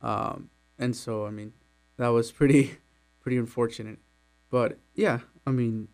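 Young man, from Mexico, hesitant, lots of fillers, soft laughs, faint electrical hum. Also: mid-range voice, fairly even intonation, a little slow, vocal fry. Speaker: employee interviewed about his immigrant journey. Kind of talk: casual interview.

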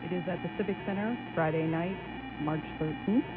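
A woman answers a radio host in short, hesitant bursts. Her voice comes through a tinny, phone-like band. Music runs clearly underneath. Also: lowish voice, brisk. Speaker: event organizer guest. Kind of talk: radio interview guest.

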